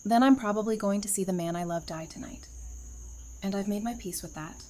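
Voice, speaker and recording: An actress voicing a weary but steady young woman who talks quietly. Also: medium pitch, fairly quick, natural voice. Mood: subdued.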